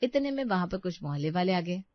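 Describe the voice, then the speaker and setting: A woman's voice: on the low side, big pitch swings, fast, dry recording. A woman narrates a moral story from a script. Her recording is very dry, with a slightly dull top end.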